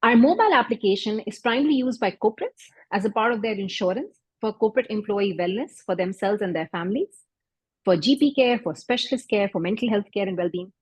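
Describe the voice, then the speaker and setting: A woman with a Pakistani accent, a doctor and founder whose first language is Urdu, giving an earnest, measured talk at an event with occasional restarts. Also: mid-range voice, lively intonation, husky, dry recording, rough recording. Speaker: doctor and health startup founder.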